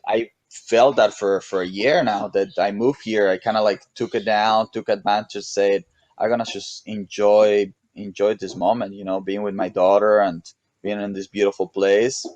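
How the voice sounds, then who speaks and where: A man with a Mexican accent chatting casually as an interview guest, relaxed and rambling, with many restarts and "you know"s. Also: fairly low pitch, normal speed, dry recording, dull audio. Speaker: guest, pro paddle racer.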